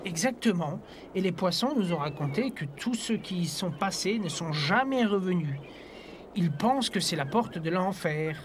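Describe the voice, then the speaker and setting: A performer voicing a sensible, careful young adventurer in a cartoon, earnest and measured. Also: medium pitch, animated, background noise, lightly acted. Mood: explaining. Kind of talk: cartoon dub in French. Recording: prominent music behind the voice.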